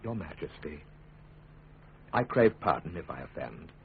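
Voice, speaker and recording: Muffled radio sound, grave courtier, deferential, principled, formal, lively intonation, raspy. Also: male voice, extremely low pitch, unhurried, a little hiss or hum, heavily acted. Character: principled, deferential male statesman, grave and careful. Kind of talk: classic British radio drama acting. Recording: muffled, narrow-band radio broadcast.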